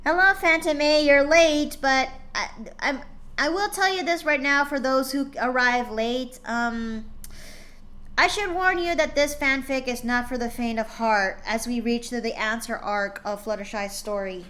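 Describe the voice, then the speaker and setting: Slow, deliberate woman reading a story. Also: high-pitched, a bit bright. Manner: slow, deliberate, talks to chat. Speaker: streamer reading fanfiction aloud. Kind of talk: reading a story aloud on a livestream.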